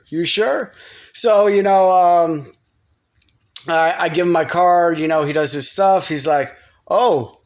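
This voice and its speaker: A man rambling casually to his viewers in a folksy, slangy, playful way, as in a personal home vlog. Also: high voice. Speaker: video blogger. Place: living room at home. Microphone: phone.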